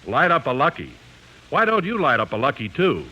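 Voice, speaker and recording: A man's voice: medium pitch, huge pitch swings, fairly quick, bright, forceful tone, husky, dull audio. A man announcing and selling in emphatic, polished 1950s radio style. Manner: emphatic, polished sales delivery. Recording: vintage 1950s radio broadcast.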